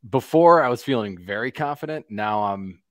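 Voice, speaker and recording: A man chatting casually on a video call through a headset, relaxed and a little hesitant. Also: medium pitch, moderate pace, no room sound, studio quality. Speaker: guest panelist. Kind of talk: casual chat.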